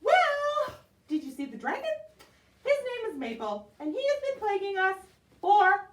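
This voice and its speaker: A woman acts out a fretful fairy-tale puppet from a castle. The voice is high and highly animated, stressing words dramatically, in a roomy, echoing space. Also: normal speed. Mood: pleading. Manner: melodramatic, emphatic. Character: dramatic, anxious castle dweller pleading for a hero. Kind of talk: children's puppet show. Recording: roomy echo, distant microphone.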